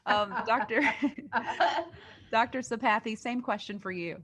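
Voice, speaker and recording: A woman journalist hosting a podcast, reading a scripted introduction in a clear, measured way and then putting questions to her guests. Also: a little high, a bit bright.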